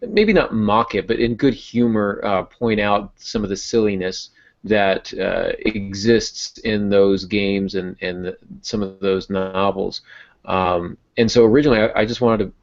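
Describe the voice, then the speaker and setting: A man's voice: on the low side, fairly even intonation, unhurried. A man talking casually on a podcast as a host and novelist explaining his work. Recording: dull lo-fi voice recording.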